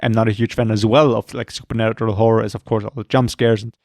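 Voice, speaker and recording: A man co-hosting a podcast, talking casually about horror films, rambling and swearing often, with a voice going hoarse. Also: on the low side, little pitch movement, fast talker, darkish tone, no room sound, clean studio sound. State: losing his voice, throat clearing. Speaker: podcast co-host. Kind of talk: casual film chat.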